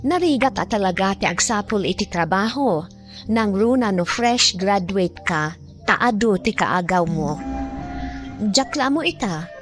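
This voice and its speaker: A woman's voice: mid-range voice, lively intonation, measured pace, soft background music, lightly acted. An actress playing a young Ilocano graduate, earnestly narrating her struggle in a radio drama. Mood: earnest, reflective.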